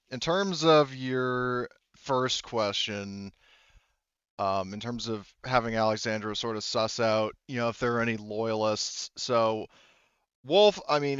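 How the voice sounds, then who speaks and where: A man speaking as game master of a tabletop roleplaying game, halting as he thinks aloud. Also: mid-range voice, a bit bright, clean tone, no room sound, lo-fi sound.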